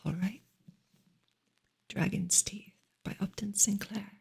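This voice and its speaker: A woman reading a book aloud for ASMR in a soft, breathy, unhurried whisper. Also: medium pitch, steady tone. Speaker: ASMR reader and streamer.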